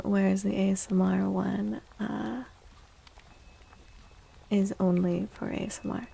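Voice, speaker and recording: Woman, ASMR livestream, mellow low-brightness tone, gentle and hushed, even pitch, frequent whispering, close-miked. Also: gravelly, rough recording. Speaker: livestreamer hosting an ASMR stream. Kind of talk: soft-spoken ASMR, chatting with viewers.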